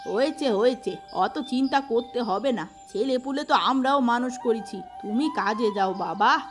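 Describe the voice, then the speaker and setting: A woman's voice: a little high, normal speed, soft background music, natural voice. A woman voicing a kindly, humble old village maidservant granny, in a cartoon. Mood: reassuring.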